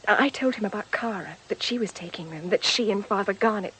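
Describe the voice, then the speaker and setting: A woman acting an anxious young woman in a radio play, earnest and hesitant, often hushed. Her voice sits at a middling pitch, with some noise on the recording. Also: a little fast, lightly acted, poor audio. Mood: fearful, urgent.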